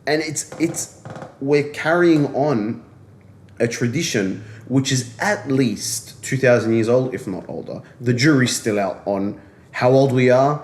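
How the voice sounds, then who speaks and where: A man's voice: medium pitch, a little slow, a little mellow, slight background noise. A young man from Australia co-hosting a podcast, talking earnestly and stressing points by repeating himself. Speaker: podcast co-host. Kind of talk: casual podcast discussion.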